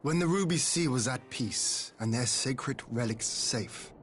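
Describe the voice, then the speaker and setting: Proud game lord, played by a man: stern, dignified and guarded, medium-pitched male voice, formal blunt phrasing, faint music behind. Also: unhurried, a bit bright, lightly acted. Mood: firm, dignified. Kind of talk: scripted video game voice acting.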